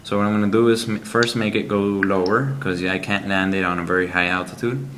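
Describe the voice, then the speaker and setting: Low-voiced man demoing a game. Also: steady tone, noisy background. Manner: halting, explanatory, frequent ums. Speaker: app reviewer. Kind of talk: game review walkthrough.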